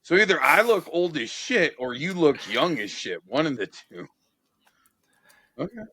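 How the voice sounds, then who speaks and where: A man's voice: fairly high pitch, very animated, measured pace, bright, forceful tone, husky. A man in his forties hosting a casual interview podcast, asking blunt questions and swearing freely. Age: 40s.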